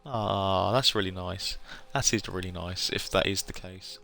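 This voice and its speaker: A man's voice: deep voice, unhurried, gravelly, pushed and bright. A man chatting casually as he livestreams a game, in a polite, reassuring and rambling way. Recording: music behind the voice. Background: game music.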